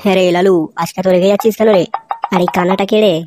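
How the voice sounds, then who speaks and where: A man as a high-voiced, grumpy cartoon shopkeeper. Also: little pitch movement, rapid-fire, over music. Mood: suspicious. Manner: scolding, wailing, slangy. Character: grumpy, excitable male tea-stall owner. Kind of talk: comedy cartoon dialogue.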